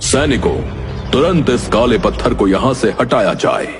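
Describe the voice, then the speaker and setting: A man voicing a commanding king in a cartoon, measured and regal. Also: mid-range voice, lively intonation, in a large hall, over music, natural voice.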